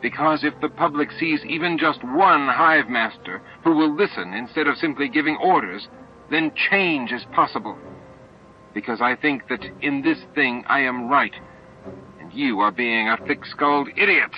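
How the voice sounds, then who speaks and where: A man's voice: medium pitch, moderate pace, pitched above the performer's own voice, close to an everyday voice. Man playing an earnest alien idealist, muffled recording. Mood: impatient conviction. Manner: pleading, strained patience, reasoning. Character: earnest, idealistic insect-like male alien hive master. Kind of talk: dramatized audiobook reading. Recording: dull lo-fi audiobook tape with music.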